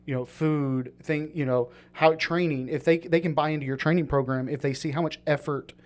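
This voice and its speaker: A man's voice: fairly high pitch, fairly even intonation, brisk, darkish tone. A man hosting a podcast, talking in a rambling, casual way with lots of fillers. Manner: rambling, many fillers and restarts. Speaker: podcast host. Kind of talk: casual chat.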